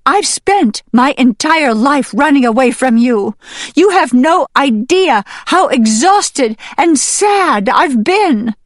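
Woman playing a slow, resolute old mother hen. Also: high-pitched, animated, no room sound, clean studio sound, pitched above the performer's own voice. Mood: weary anguish. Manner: firm, accusing, emphatic. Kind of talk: audiobook character voice.

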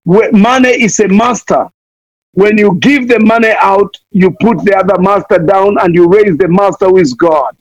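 A man's voice: high voice, normal speed, no echo. A man from Kenya, preaching fervently as a missionary guest, pleading again and again and playing on words. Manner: impassioned, repeats pleas, wordplay. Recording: dull lo-fi dry sound.

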